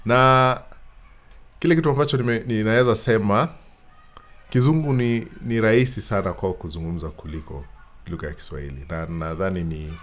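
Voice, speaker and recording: Low-voiced Kenyan man hosting conversationally. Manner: halting, searching for words with repetitions. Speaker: show host. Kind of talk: conversational interview hosting, mixing Swahili and English.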